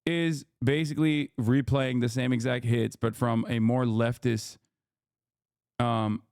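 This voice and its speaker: A man's voice: medium pitch, steady tone, measured pace, a little mellow, clean tone, no room sound, studio quality. A man streaming live, ranting to his chat in a heated, profane, rambling way.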